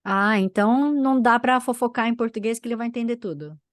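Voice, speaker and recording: A woman's voice: a little high, brisk, a little hiss or hum. A woman hosting a podcast, asking casual questions with friendly, teasing remarks. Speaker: podcast host.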